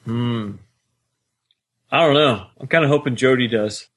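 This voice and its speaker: A man's voice: medium pitch, unhurried, fairly bright tone. A man co-hosting a podcast, chatting casually as a fan about a story. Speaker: podcast co-host.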